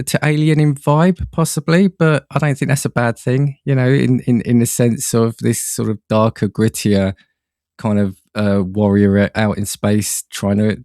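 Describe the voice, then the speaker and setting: A man chatting casually as a podcast guest, enthusiastic but halting as he works through his thoughts. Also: mid-range voice, a little mellow, no echo, clean studio sound. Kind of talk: casual film chat.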